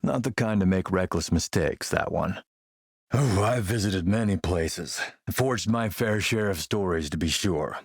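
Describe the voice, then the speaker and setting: A man playing a shrewd, menacing militia boss and smooth-talking dealmaker in a video game, gravelly, measured, self-assured and wry. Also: low voice, no echo, clean studio sound, exaggerated voice. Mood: wry, boastful. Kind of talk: video game character dialogue.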